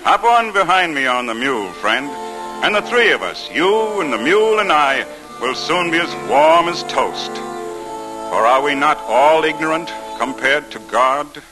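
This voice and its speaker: An actor playing a cheerful, hearty young monk in a 1940s style radio drama, friendly and jovial. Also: male voice, fairly high pitch, sing-song, a little slow, rough recording. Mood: amused, welcoming. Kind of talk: 1940s radio drama acting with carol singing. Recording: old radio recording with loud music.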